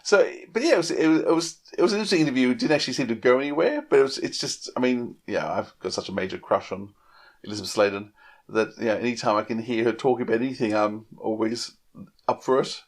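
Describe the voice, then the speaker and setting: A man's voice: mid-range voice, quick pace. A man chatting casually on a podcast, rambling and repeating words as he works through his thoughts. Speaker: podcast panellist. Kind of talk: casual chat.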